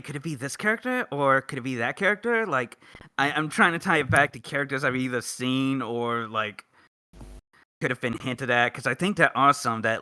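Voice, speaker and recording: A man's voice: medium pitch, pushed and bright, no echo. A man talking casually as a co-host on a podcast. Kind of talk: casual chat.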